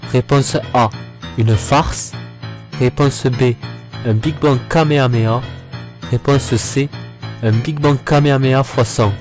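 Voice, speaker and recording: A man's voice: mid-range voice, steady tone, slow, pauses often. A man reviewing in a voice-over, giving informal critical commentary in a blunt, slangy, complaining manner. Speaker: video reviewer. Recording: voice-over with music behind.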